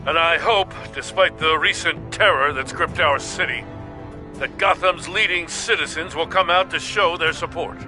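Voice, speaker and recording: An actor playing a plainspoken, hopeful middle-aged police commissioner in a video game, speaking earnestly. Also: male voice, on the high side, moderate pace, over music, natural voice. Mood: rousing.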